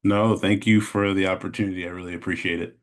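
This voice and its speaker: A man with an American accent chatting casually as an interview guest, in a rambling, halting way. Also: sits low, steady tone. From Chicago, American Midwest. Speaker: interview guest, animation studio founder. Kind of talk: casual chat, telling his story.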